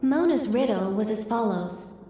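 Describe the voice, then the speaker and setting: A woman's voice: on the high side, animated, background noise, lightly acted. Woman playing a composed mage, heavily echoing. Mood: calm, explanatory. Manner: measured, formal, precise. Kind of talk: video game voice acting.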